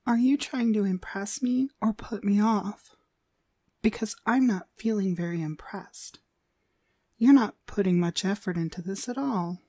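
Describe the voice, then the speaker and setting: A woman's voice: mid-range voice, dark tone, husky, no room sound, clean studio sound. A woman playing a cruel, domineering demonic dog trainer in an ASMR roleplay, contemptuous and commanding in her delivery. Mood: scolding, commanding. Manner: contemptuous, commanding, slow and deliberate. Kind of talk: ASMR roleplay, frequent breathy whispering.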